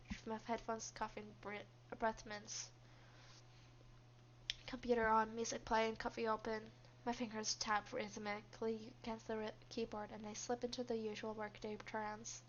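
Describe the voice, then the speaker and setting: A woman voicing a reserved, guarded young female office worker, narrating a visual novel in a subdued, measured way. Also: medium pitch, level tone, a little hiss or hum, natural voice. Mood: reflective, guarded. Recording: dull, lo-fi microphone.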